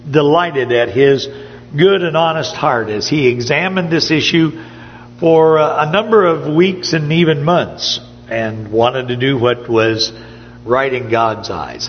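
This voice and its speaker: A man giving a sermon to his congregation, his voice a little hoarse, speaking conversationally with frequent pauses and fillers. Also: medium pitch, in a large hall, dull audio, slight background noise. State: slightly hoarse. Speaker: preacher. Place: church sanctuary.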